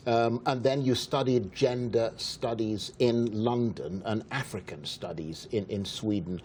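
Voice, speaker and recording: A man hosting a broadcast news interview program, asking measured questions. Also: fairly low pitch, darkish tone, slight background noise. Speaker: TV interview host.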